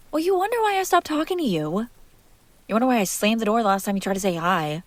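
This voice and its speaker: A woman playing a jealous, hurt young woman confronting her crush in an intimate audio roleplay, halting, sarcastic and emotionally raw. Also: fairly high pitch, sing-song. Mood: bitter, accusing.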